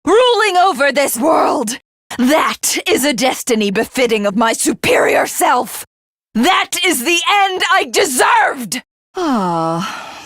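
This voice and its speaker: A woman's voice: sits high, a bit bright, no echo, clean studio sound, full character voice. A woman voicing a megalomaniac alien warrior from a video game, haughty and theatrical, who devours rivals to become a god. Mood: enraged, imperious. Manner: haughty, grandiose, theatrical, self-satisfied. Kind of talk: video game character voice acting.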